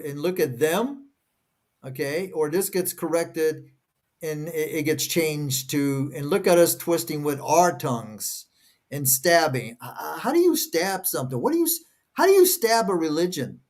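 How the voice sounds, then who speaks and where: A man's voice: a little high, expressive, measured pace, a little mellow. A man whose first language is Portuguese, reading verses aloud and stopping often to question each phrase in a casual discussion. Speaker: co-host reading scripture.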